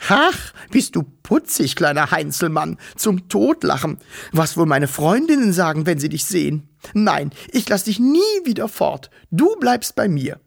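A man reading a story aloud, voicing a silly, doting middle-aged woman who coos and fusses in a high, sing-song voice. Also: big pitch swings, a little slow, gravelly, husky, exaggerated voice. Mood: cooing. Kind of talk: audiobook character voice.

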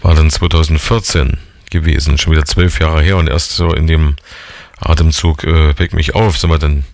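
A man's voice: extremely low pitch, little pitch movement, normal speed, gravelly, no room sound. A man in his forties hosting a casual radio interview, asking chatty, teasing questions. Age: 40s. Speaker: radio host.

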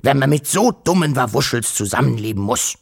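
A bad-tempered uncle creature from a children's storybook, played by a man. He grumbles and whines with self-important impatience, his pitch jumping around in lively swings. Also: fairly quick, vocal fry, husky. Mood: irritated, lecturing. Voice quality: grunting. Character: grumpy, whiny, demanding male uncle creature.

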